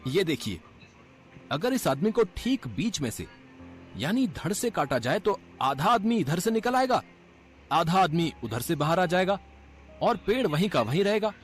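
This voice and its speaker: A man's voice: high voice, big pitch swings, unhurried. A man playing a brash clerk in a cartoon story, explaining things in a glib, cocksure way. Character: brash male clerk with absurd, cocksure ideas. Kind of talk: acted cartoon story dialogue.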